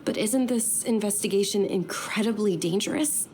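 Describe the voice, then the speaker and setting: A woman playing a caring, earnest young noblewoman in a video game, soft-spoken and polite. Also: mid-range voice, normal speed, a little hiss or hum, natural voice. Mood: concerned. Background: background music.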